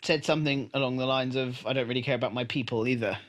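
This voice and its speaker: A man's voice: medium pitch, steady tone, fast talker. A man co-hosting a podcast in casual chat about a TV episode, agreeably chiming in. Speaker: podcast co-host.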